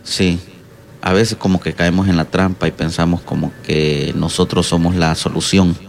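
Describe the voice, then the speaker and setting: A middle-aged man with a Honduran accent speaks in a very deep, creaky voice. He answers as a pastor in an interview, reflective and hesitant, often pausing mid-thought. Faint noise sits behind him. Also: fairly even intonation. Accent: Honduran Spanish. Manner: halting, reflective, hesitant pauses. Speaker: guest pastor and counselor.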